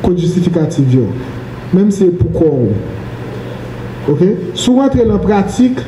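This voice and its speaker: A middle-aged man giving a business training seminar on a handheld mic, animated and often checking in with the room. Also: sits high, normal speed. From Haiti. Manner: animated, gestures while speaking, asks the room questions. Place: medium-sized indoor training room. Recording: echoey room, faint background noise.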